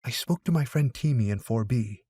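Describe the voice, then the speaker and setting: A man's voice: mid-range voice, animated, normal speed, dark tone, husky, dry recording. A man voicing a grumbling, wary teenage schoolboy in an audiobook, with a complaining manner. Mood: wary, secretive. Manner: complaining, grudging. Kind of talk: audiobook character dialogue.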